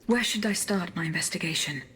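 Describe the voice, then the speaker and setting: A woman's voice: on the low side, fairly quick, a bit bright, slight background noise, lightly acted. A woman voicing a reserved, determined female automaton warrior in a video game, formal and terse. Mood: composed, resolute. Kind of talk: video game cutscene acting.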